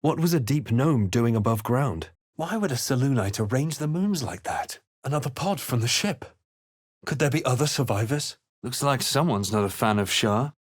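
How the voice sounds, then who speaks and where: Male video game hero, acted by a man: mid-pitched, introspective, watchful, deliberate delivery, close dry recording. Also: lively intonation, no room sound, close to an everyday voice. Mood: grave, brooding. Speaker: video game player character. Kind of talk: scripted narration and character lines for a fantasy game.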